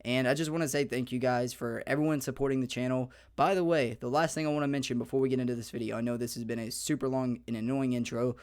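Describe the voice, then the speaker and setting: A young man hosting a gaming channel, slightly hoarse, talking through a beginner's game guide in an upbeat, chatty way with many fillers. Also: mid-range voice, steady tone, quick pace, hardly any pauses, a little mellow. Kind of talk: casual gaming guide talk.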